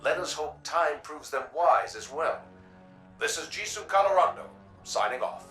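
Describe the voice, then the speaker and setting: An actor playing a polished, formal city news broadcaster, probing guests in a video game radio interview. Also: male voice, fairly high pitch, lively intonation, a bit bright, roomy sound, recorded from a distance, soft background music. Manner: formal, measured announcer phrasing.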